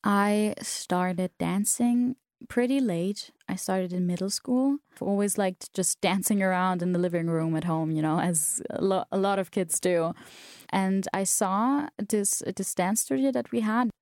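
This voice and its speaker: A woman's voice: medium pitch, measured pace, a little mellow, no room sound, studio quality. A young woman whose first language is German, telling stories casually in an interview, with lots of fillers and restarts. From South Tyrol, Italy. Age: young adult. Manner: chatty, many fillers and restarts. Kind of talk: casual conversational storytelling.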